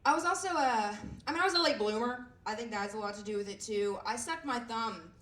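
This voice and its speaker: A woman in her twenties with a raspy voice, performing a self-deprecating stand-up set with lots of fillers and halting restarts. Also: on the high side, fairly bright tone. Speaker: stand-up comedian. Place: comedy club stage. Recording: echoey room heard from a distance.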